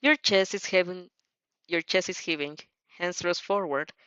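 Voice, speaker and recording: A woman's voice: fairly low pitch, unhurried, fairly bright tone, smooth, dry recording, dull audio. A woman narrating fanfiction expressively, dropping in casual asides as she goes.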